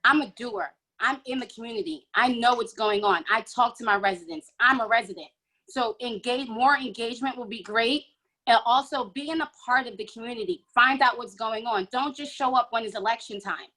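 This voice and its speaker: Casting emphatic woman candidate, higher bright voice, punchy lines. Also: a little fast, rough recording. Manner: emphatic, short punchy sentences. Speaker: school board candidate. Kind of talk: forum answer. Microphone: video call.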